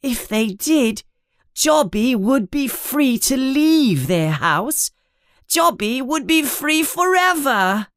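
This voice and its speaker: A woman voicing a small, timid, tearful male house elf who talks in a squeaky, pleading, servile way. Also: big pitch swings, slow, a bit bright, no echo, studio quality, full character voice.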